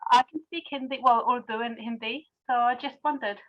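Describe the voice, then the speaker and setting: Fan from Pakistan on a video call, teasing, slightly higher voice, muffled line. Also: female voice, steady tone, fairly quick. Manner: playful, teasing, coy about details. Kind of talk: casual video-call chat.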